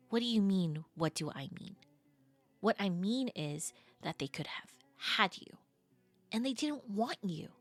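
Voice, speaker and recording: Woman acting flirty, expressive best friend, close and dry. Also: on the high side, no echo. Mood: indignant, protective. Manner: casual, expressive, hesitant trailing-off phrases. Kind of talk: intimate audio roleplay acting, conversational.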